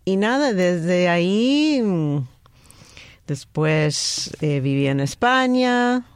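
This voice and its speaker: A woman from New York with an American accent, a native English speaker, speaking Spanish as a guest in a relaxed interview, often restarting her words. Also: lowish voice, drawn-out words, clear, resonant tone, no echo, studio quality. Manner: relaxed, hesitant, restarts words, laughs easily. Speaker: guest, music researcher and journalist. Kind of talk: conversational interview.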